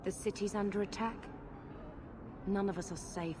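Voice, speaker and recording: Sarcastic female game hero, mid-pitched voice, noisy game audio. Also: fairly even intonation, moderate pace. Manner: wry, sarcastic. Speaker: player character leader.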